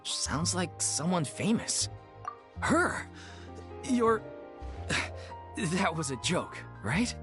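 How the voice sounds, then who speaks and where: An actor playing a polite, modest and hesitant member of an amateur theatre troupe in a video game. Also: male voice, high-pitched, very animated, drawn-out words, pauses often, over music, heavily acted. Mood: flustered, respectful.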